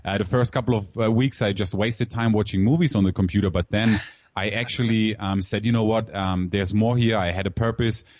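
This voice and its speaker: A man in his thirties who grew up in Germany, talking through his life story, candid and loose, full of "you know" and "man." His voice sits low, and the sound is close and dry with no room around it. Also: fairly even intonation, a little fast, a little mellow, dry recording. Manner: candid, filler-heavy, calls host "man". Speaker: guest entrepreneur. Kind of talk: casual interview storytelling.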